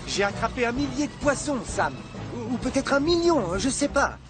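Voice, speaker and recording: A man voicing an excitable, boastful but good-hearted fisherman father in a French cartoon dub. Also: very high-pitched, moderate pace, music in the background. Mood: agitated.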